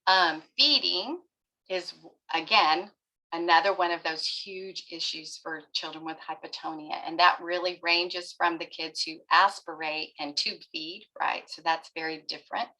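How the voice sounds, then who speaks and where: A woman's voice: lowish voice, fairly even intonation, unhurried, bright, forceful tone, rough recording. A middle-aged woman therapist giving a webinar lecture to parents, explaining step by step and often checking in with "right?". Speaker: pediatric therapist presenting a webinar.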